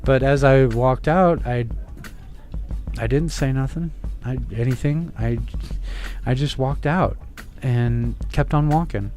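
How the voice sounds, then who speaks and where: A man guest on a radio talk show telling a story with a powerful, forceful voice and acting out each exchange with lively energy. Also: medium pitch, slow, long pauses, faint music behind. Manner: animated storyteller who acts out dialogue. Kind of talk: casual radio chat, storytelling.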